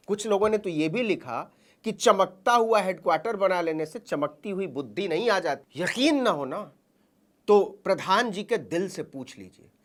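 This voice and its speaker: A woman delivering satirical political commentary as a news commentator, with a mocking, theatrical delivery. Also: medium pitch.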